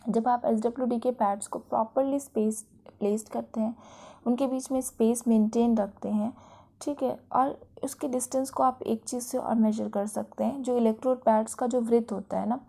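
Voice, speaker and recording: Woman, Indian accent, higher-pitched, soft dark tone, didactic lecturer, explanatory delivery. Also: fairly even intonation, normal speed, gravelly. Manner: didactic, with frequent check-in tags. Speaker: physiotherapy lecturer.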